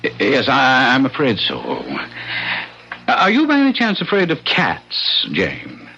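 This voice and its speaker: An actor in an old-time radio drama playing a rich, eccentric old landlord, courteous and fussy with a sinister charm. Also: male voice, mid-range voice, huge pitch swings, measured pace, pushed and bright, husky, exaggerated voice. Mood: coaxing. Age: older. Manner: fussy, genteel, theatrical, hesitant. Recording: dull vintage radio recording.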